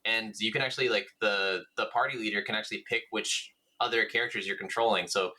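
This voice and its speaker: A man's voice: lowish voice, steady tone, fast talker, bright, forceful tone, low-quality recording. A man chatting informally as co-host of a gaming podcast, swearing freely. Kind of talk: casual gaming podcast chat.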